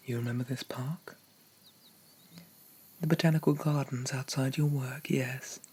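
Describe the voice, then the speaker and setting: A man as a slow, haughty demon prince. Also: medium pitch, fairly even intonation, husky. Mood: suave, indulgent. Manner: pompous, formal, softly condescending. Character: haughty, possessive young male dream demon prince. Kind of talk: slow ASMR roleplay, half whispered.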